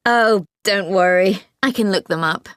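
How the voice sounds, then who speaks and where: A woman's voice: mid-range voice, a little slow, smooth, dry recording, studio quality, natural voice. A woman acting a chatty, inquisitive, friendly mum asking for advice in a scripted exam dialogue. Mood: interested, agreeable.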